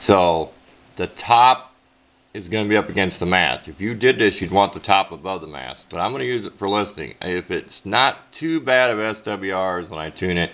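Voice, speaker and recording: A man's voice: animated, moderate pace. A middle-aged man giving a casual hands-on explanation in a rambling, plain-spoken way, correcting himself as he goes. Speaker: hobbyist demonstrating a homemade radio antenna build. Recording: low-fi muffled sound with clear background noise.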